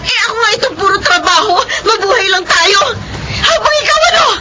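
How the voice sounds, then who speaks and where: A woman's voice: very high voice, animated, brisk, a crowd in the background, background noise. An actress with a Filipino accent playing a hurt, resolute, hardworking wife and mother in a radio drama. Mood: tearful fury.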